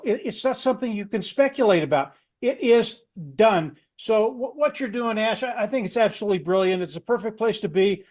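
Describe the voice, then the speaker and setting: A man's voice: sits high, darkish tone. A man on a panel giving an enthusiastic testimonial in a folksy way, restarting phrases for emphasis.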